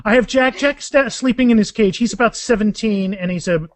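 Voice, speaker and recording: Man, middle-aged, higher voice, dry close recording, casual interview, animated storyteller, repeats phrases. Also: brisk, no echo. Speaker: author, podcast guest.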